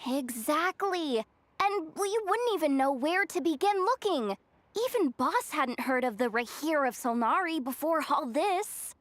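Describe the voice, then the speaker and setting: A woman voicing a sharp-witted, skeptical young ally in an anime game, speaking casually. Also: high-pitched, expressive, measured pace, dry recording.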